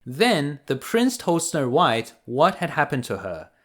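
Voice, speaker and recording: A man reading a fairy tale aloud to children as a bedtime storyteller, deliberate and expressive. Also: fairly high pitch.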